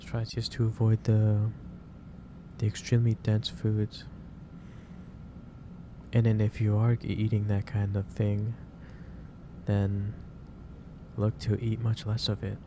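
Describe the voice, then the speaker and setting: Male, low-pitched, gentle boss roleplay in ASMR style, halting advisory delivery, over clearly noisy ambience. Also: completely flat delivery. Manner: hesitant, halting, pausing mid-sentence, gently advising. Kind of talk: soft ASMR roleplay, improvised monologue to the listener.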